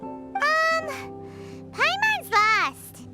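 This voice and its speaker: A woman voicing a tiny, childlike, excitable and cute floating fairy companion in a video game. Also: extremely high pitch, very slow, over loud music, exaggerated voice. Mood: puzzled. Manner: refers to self in third person, exclamatory. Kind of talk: video game character acting.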